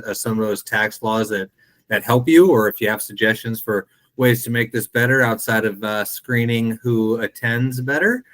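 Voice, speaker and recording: A man hosting an online webinar, relaxed and full of fillers as he wraps up. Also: mid-range voice. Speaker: webinar host. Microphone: video call.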